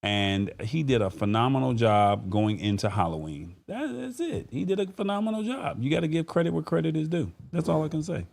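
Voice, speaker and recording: A man with a medium-pitched voice hosts a casual live talk show. He speaks informally and conversationally, addressing the audience directly as he goes. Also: sing-song, a little fast, gravelly, few pauses, a little mellow. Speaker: show host. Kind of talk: casual live-stream talk show, reading viewer comments.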